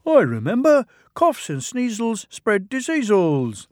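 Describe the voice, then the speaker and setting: Man putting on squeaky, cheeky little engine twins. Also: wildly swooping pitch, slow pace, a little mellow, no room sound, clean studio sound, heavily acted. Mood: curious. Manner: indignant, argumentative. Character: cheeky, excitable young male twin tank engines.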